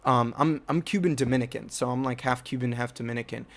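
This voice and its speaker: A man's voice: medium pitch, fast, fairly bright tone. A young man from New Jersey telling a rambling personal story about his filmmaking, with many fillers and restarts. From United States (New Jersey). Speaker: filmmaker and channel host.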